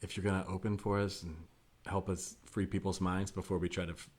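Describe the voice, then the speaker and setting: A man's voice: sits low, level tone, fairly quick, a little mellow. A man playing an earnest, awkward activist band frontman in improvised comedy, hesitant and repeating himself as he pleads. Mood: pleading, uneasy.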